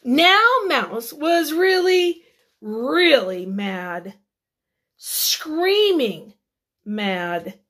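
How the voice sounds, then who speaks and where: A woman reading a picture book aloud to children, expressively and deliberately, with dramatic emphasis. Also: sits high, very animated, fairly bright tone. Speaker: children's read-aloud storyteller.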